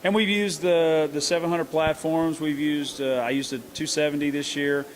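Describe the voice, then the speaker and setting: A middle-aged man talks in a fairly high voice. He answers interview questions earnestly and haltingly, starting over often as he works out what he wants to say. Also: flat delivery. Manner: earnest, halting with many restarts. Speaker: country singer and hunting show host.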